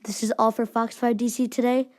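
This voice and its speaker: A woman giving mock emergency news announcements in a formal but halting, amateur way. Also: a little high, flat delivery, darkish tone.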